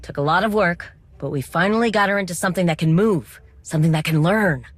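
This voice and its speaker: An actress playing a friendly, determined droid tinkerer in a video game, talking in a casual, reassuring way. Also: female voice, lowish voice, fast, no room sound, close to an everyday voice. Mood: friendly, upbeat.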